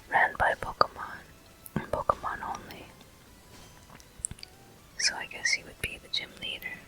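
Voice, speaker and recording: A person whispering gentle, unhurried ASMR commentary as a video game walkthrough host. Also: very high-pitched, poor audio. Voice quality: soft, breathy whisper.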